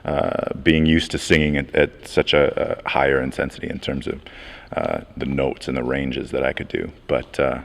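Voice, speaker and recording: A young man from upstate New York, a bass singer, chatting easily in an interview. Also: moderate pace, gravelly. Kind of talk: casual interview.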